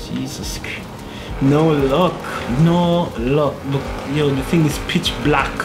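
A man's voice: a little high, fairly even intonation, slow, music in the background, poor audio. Young man chatting over gameplay on a headset. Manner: chatty, laughing, muttering to himself while driving. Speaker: gaming YouTuber. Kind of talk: casual gameplay commentary. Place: small home room, indoors. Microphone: gaming headset.

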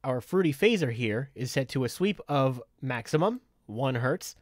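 Male tutorial presenter. Methodical, step-by-step instruction, mid-pitched, recorded dry and close. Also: expressive, normal speed, no echo. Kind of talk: step-by-step software tutorial narration.

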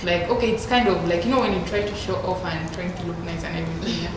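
A young woman student talks hesitantly in a group chat, pausing and restarting. She sits away from the mic. The room echoes strongly and there is background noise. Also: on the low side, steady tone. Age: young adult. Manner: hesitant, many fillers. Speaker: university student. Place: living room with sofa, indoors. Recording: distant, very echoey, noisy.

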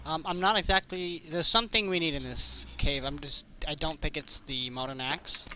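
Excitable young guy, higher voice, gaming. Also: moderate pace, noisy background. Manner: excitable, joking, slangy. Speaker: gamer, video host. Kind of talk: casual chat while playing a co-op survival game.

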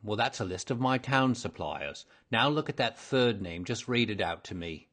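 A man's voice: on the low side, lively intonation, moderate pace, a bit bright. A man voicing a surly, hot-tempered market poultry seller in a storybook, blustering and combative. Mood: boastful, goading. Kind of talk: audiobook character voice.

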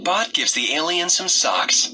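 A man narrating a read-aloud story for young children in short, expressive sentences. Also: fairly high pitch, a little slow, background noise.

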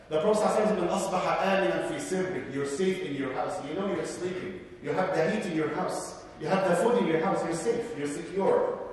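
A man in his forties giving a sermon as guest imam, impassioned, swinging between loud urging and hushed asides. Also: a little high, a little hiss or hum. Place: large mosque prayer hall. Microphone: handheld mic. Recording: roomy hall reverb, mic from a distance.

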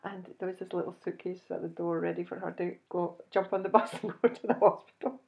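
Low-voiced middle-aged Scottish woman, slightly dull sound. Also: a little fast, vocal fry. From Scotland. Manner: animated, chuckles often, acts out dialogue. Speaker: host. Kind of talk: personal storytelling to camera. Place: indoors, small room, plain backdrop.

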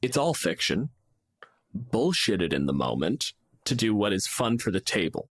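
A man's voice: fairly low pitch, sing-song, measured pace, husky, no echo. Canadian man in dry, close sound. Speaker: podcast co-host and game master. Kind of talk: casual podcast discussion.

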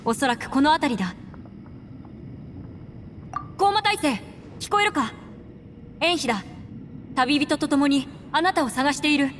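A woman's voice: sits high, lively intonation, unhurried, pauses often, a bit bright, slight background noise. A woman voicing a composed, resolute young adventurer in a Japanese anime game, speaking in an articulate, slightly formal, earnest way. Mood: urgent, earnest. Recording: long reverb, music behind.

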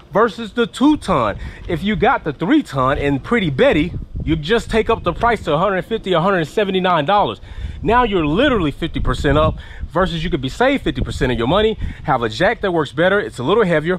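Blunt, animated man reviewing outdoors. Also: high voice, slight background noise. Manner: blunt, animated, swears casually. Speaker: car channel host. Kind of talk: casual product review vlog. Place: outdoors in a suburban driveway. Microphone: handheld camera held at arm's length.

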